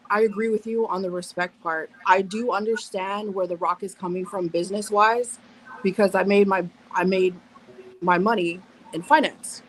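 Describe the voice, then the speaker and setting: A woman's voice: medium pitch, a little hiss or hum, low-quality recording. A woman in her thirties, a guest on a live panel, talking bluntly, cursing, restarting and over-explaining. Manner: blunt, profane, self-interrupting, over-explains. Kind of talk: casual live panel discussion.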